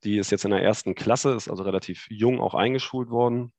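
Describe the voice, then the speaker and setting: A man in his thirties from Hamburg with a northern German accent, chatting as a guest on a video call, relaxed and hesitant with many fillers. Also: on the low side, fairly quick, dry recording. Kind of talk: casual chat.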